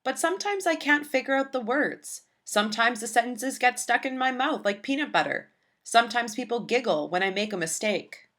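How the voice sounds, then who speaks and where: A woman voicing an earnest, shy young schoolgirl who narrates her own storybook tale with deliberate, childlike phrasing. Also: a little high, expressive, fairly bright tone. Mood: glum.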